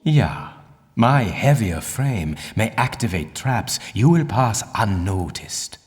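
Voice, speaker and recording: An older, stern archaeologist guides a student in a video game. His voice is low and rolls widely in pitch. He is formal, pompous and condescending, echoing in a long cavernous reverb. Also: animated, slow. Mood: measured, instructive. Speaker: mentor and guide. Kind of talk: video game dialogue and tutorial instruction.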